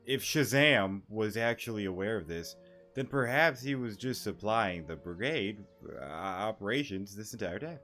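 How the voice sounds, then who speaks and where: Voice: mid-range voice, animated, normal speed, fairly bright tone, faint music behind. A game streamer giving casual, informal commentary, reacting to a story as it is played.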